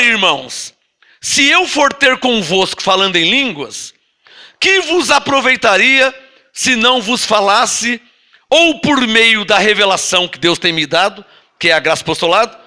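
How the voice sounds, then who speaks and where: A man with a Brazilian Portuguese accent preaching a Bible-teaching sermon. He reads scripture slowly and drawn out, then explains it emphatically and prods listeners with rhetorical questions. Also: very high-pitched, bright, forceful tone. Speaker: apostle preaching to a congregation.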